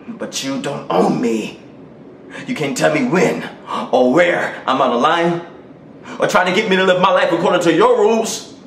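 A man performing a dramatic monologue as a defiant grown son confronting his overbearing father, emphatic and emotional, with deliberate pauses. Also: high voice, exaggerated voice. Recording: roomy, distant mic, loud background noise.

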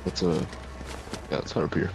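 A man playing a gruff, weary father in an improvised zombie game roleplay, terse and mumbling. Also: moderate pace, noisy background, lightly acted. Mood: casual.

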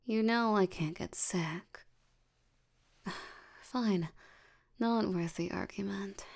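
Woman voicing a slow, creaky, sleepy demon girl. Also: mid-range voice. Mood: tired, mildly exasperated. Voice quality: soft, breathy, creaky tired voice. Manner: drowsy, slow, drawling, unbothered. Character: sleepy, lazy female sloth demon, ancient but youthful. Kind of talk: ASMR roleplay.

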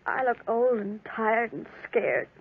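An actress playing a frightened, worn-out farm wife, pleading and halting, in 1940s radio drama style. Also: female voice, fairly high pitch, expressive, breathy edge, heavily acted. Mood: fearful, weary. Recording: narrow, tinny old 1940s radio recording.

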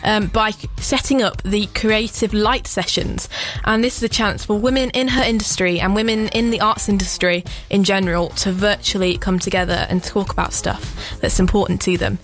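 A woman's voice: medium pitch, steady tone, moderate pace. A woman radio presenter talking directly to listeners between songs in a chatty way. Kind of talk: scripted radio links. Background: faint music bed.

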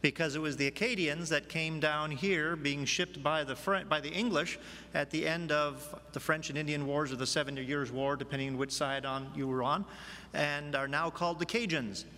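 A middle-aged man formally introduces a speaker in a somewhat halting way. His voice is fairly high and sharply bright, carrying through a chapel with long reverb. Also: fairly even intonation. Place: large church chapel, at lectern.

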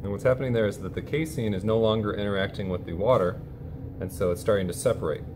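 A man teaching a simple cooking demonstration at an indoor lab bench, talking in a relaxed, explanatory way with plenty of "kinda" fillers. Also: fairly low pitch, fast talker. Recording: steady background noise.

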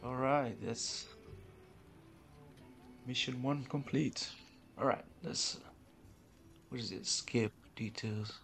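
Man, gameplay host, very slow pace, casual slangy reactions, laid-back, faint music behind. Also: mid-range voice, steady tone, creaky. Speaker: gaming video host.

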